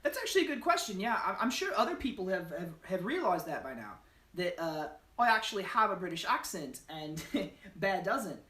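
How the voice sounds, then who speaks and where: A woman co-hosting a mock-serious joke interview, talking deadpan and halting, with fillers and restarts. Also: on the low side. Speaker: channel co-host. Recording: roomy, distant mic.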